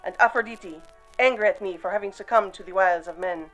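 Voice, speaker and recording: A woman playing a stern Amazon queen and mother in a radio serial, speaking in a measured, formal, commanding way. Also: mid-range voice, a bit bright, faint music behind, close to an everyday voice, rough recording. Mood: solemn storytelling.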